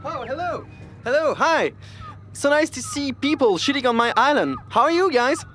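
A frantic castaway man, acted out in a put-on voice, very high and swinging wildly in pitch. He is agitated and excitable, a comic figure in a radio spoof. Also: a little slow, faint music behind. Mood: breezy, greeting. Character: frantic English-speaking male castaway, film star gone feral.

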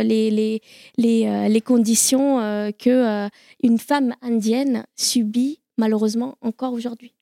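A woman of Indian origin speaks earnestly on a panel, pausing on fillers as she goes. Her voice sits a little high with a gravelly edge. The sound is close and dry. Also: dark tone, dry recording. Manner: earnest, halting with many fillers. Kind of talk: live panel discussion.

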